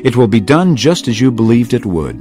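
An actor playing a solemn, authoritative male teacher and healer in a dramatised audio Bible, speaking in a grave, measured way. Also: mid-range voice, sing-song, music in the background, close to an everyday voice. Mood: firm, grave.

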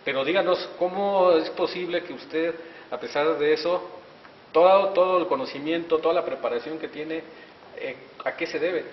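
A man's voice: a little high, normal speed, a little hiss or hum. Effusive male reporter in an echoey hall. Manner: effusive, congratulatory, filler-heavy. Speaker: reporter, interviewer. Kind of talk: community TV interview. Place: wood-paneled auditorium hallway, indoors. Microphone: handheld mic. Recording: echoey hall from a distance, dull sound.

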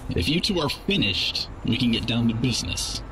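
A man voicing a cold, dismissive robot contract broker with a deadpan manner in a game. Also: mid-range voice, normal speed, close to an everyday voice. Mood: stern. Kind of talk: animated game cutscene acting. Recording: clear noise behind the voice.

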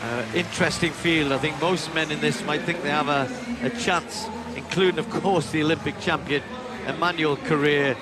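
A man giving live play-by-play athletics commentary, building to shouts as the race unfolds. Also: on the high side, fairly even intonation, normal speed. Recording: broadcast commentary over loud stadium noise.

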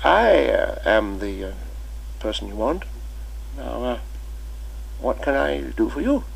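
A man's voice: medium pitch, very animated, slow, vocal fry, breathy edge, voice pushed up, full character voice, poor audio. A man reading an audiobook, voicing a kindly elderly male schoolmaster who hesitates with many ums and uhs. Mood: genial. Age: older.

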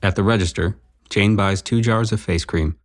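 An actor playing a dry, matter-of-fact narrator of scenes in an audio drama. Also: male voice, fairly low pitch, moderate pace, lightly acted.

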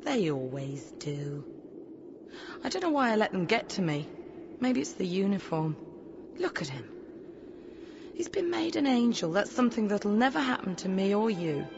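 A woman's voice: medium pitch, very animated, normal speed, vocal fry, long pauses, background noise. A woman acting in an audio drama as a blunt, sardonic afterlife patrol officer with sarcastic, pointed questions. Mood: cynical. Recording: dull lo-fi audio drama recording. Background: background music.

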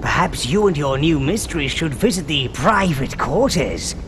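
An actor voicing a servile, scheming old goblin-like minion advisor in a video game, with loud music behind him. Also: male voice, a little high, lively intonation, unhurried, noisy background. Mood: scheming, fawning. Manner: fawning, scheming. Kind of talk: video game character acting.

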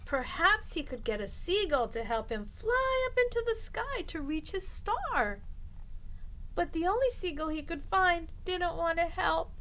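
A middle-aged woman reading a picture book aloud to children as a storytime host, expressively and deliberately, drawing out the ends of phrases. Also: very high-pitched, very animated, a bit bright, slight background noise, low-quality recording. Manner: deliberate, drawing out phrase endings for young listeners. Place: small indoor room lined with bookshelves.

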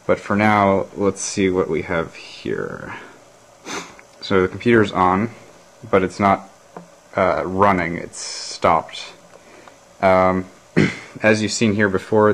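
A man casually narrating a show-and-tell of his homebuilt computer, hesitant, with many fillers and throat clearing, recorded on a handheld camera at a workbench. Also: deep voice, a bit bright, poor audio. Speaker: hobbyist vlogger.

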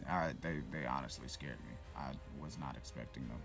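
A man giving casual, profanity-laced commentary while playing a shooter game, reacting loudly to what happens on screen. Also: rumbling low, fairly quick, gravelly, over music. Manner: swears freely, reacts loudly to in-game events. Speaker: gameplay commentator.

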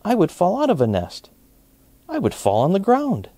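Man as a gentle, hopeful young bunny, lifted pitch. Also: wildly swooping pitch, normal speed, dry recording, exaggerated voice.

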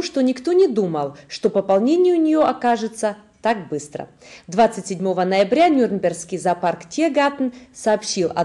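Woman, thirties, news presenter, scripted reading, soft mellow timbre, animated pitch, medium register. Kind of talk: scripted newsreading. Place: indoor studio.

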